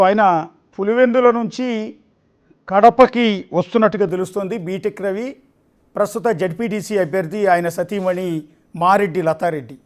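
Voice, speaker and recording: A man anchoring live election news, delivering commentary deliberately, phrase by phrase. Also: high-pitched.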